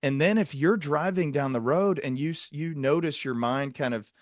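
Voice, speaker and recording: A man hosting a conversational interview in a reflective way, building long, qualified sentences with pauses. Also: a little high, dry recording, studio quality. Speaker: podcast host, psychiatric provider.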